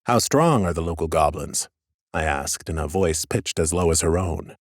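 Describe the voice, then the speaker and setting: A man narrating a first-person fantasy audiobook in a measured storytelling manner, slipping into dialogue. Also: rumbling low, lively intonation, dry recording, studio quality.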